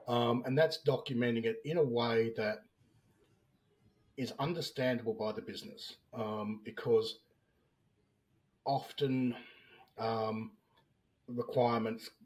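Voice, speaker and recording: A man's voice: mid-range voice, a little mellow, low-quality recording. An Australian man in his fifties, a business analyst speaking as a podcast guest and explaining plainly with everyday examples. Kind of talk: podcast interview.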